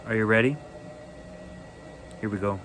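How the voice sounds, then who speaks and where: A man reading a children's bedtime story aloud expressively, with deliberate dramatic pauses. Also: fairly low pitch, steady tone, fairly bright tone, slight background noise.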